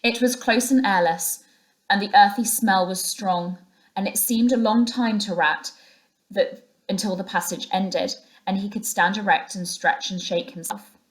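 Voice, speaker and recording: Female teacher for storybook narration, medium-pitched voice, reading aloud to schoolchildren. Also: a little slow, poor audio.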